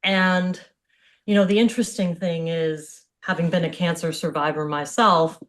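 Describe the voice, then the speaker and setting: A woman's voice: lowish voice, fairly even intonation, normal speed. A middle-aged woman with an American accent, a physical therapist giving an informal online presentation in an open, conversational way. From Hawaii, United States. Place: indoors on a video call.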